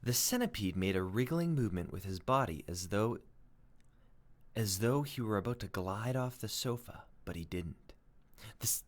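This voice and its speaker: Expressive male teacher with very lively pitch. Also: moderate pace. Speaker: teacher reading aloud. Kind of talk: expressive storybook read-aloud and lesson.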